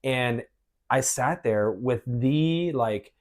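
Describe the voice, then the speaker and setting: A man's voice: medium pitch, drawn-out words, a little mellow. A man with an American accent, hosting a podcast and telling personal stories in casual, conversational speech. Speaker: podcast host. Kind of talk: casual chat, personal storytelling.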